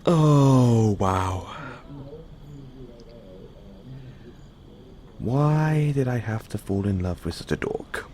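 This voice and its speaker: A man's voice: mid-range voice, lively intonation, slow, dark tone. A man playing a playful, affectionate boyfriend in an intimate bedtime audio roleplay. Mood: playful teasing. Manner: teasing, affectionate.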